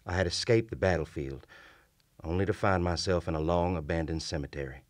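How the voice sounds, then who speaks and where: A middle-aged actor playing a haunted Confederate lieutenant, gravely and formally recounting a horror from his journal in a radio play. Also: low-pitched, dry recording, clean studio sound, lightly acted. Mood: brooding. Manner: grave, formal, deliberate storytelling. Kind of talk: dramatic old-time radio play narration. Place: small radio studio. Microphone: vintage-style studio microphone at close range. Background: music.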